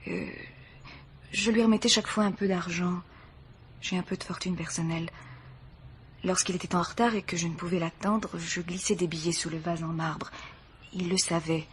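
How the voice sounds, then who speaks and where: Woman acting a low-voiced, dignified grieving mother. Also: steady tone, slight background noise, close to an everyday voice. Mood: sorrowful, restrained. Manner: formal, measured, sorrowful. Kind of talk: French radio mystery drama acting.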